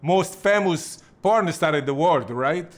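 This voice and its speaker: A man hosting a live chat, reading viewer questions aloud in halting, broken English. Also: a little high.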